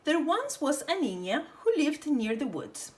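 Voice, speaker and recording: A woman storyteller reading a children's picture book aloud in an animated, theatrical way. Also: high voice, unhurried.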